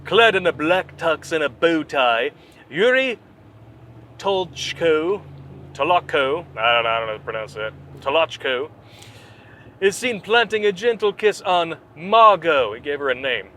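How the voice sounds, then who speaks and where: Man, comedy podcast co-host, bright slightly high voice, chatty, faint noise behind. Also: lively intonation, a little slow. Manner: chatty, laughs often, riffs on stories. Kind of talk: casual comedic chat, reading news aloud.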